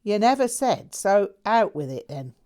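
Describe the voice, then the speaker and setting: A woman's voice: mid-range voice, very animated, measured pace, pitched above the performer's own voice. A woman reading a novel aloud, voicing a gleeful, brash man. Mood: blunt. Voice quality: loud, brash, slangy.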